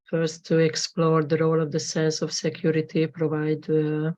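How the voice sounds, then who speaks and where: Halting woman presenting research. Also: deep voice, completely flat delivery, dark tone. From Hungary. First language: Hungarian. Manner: halting, formal, with frequent pauses. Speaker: conference presenter, PhD student. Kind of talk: academic presentation. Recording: dull lo-fi recording.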